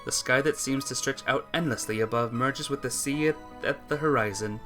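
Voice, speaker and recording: A man reading a visual novel aloud as a let's play narrator, with expressive, earnest storytelling delivery. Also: mid-range voice, normal speed, soft background music.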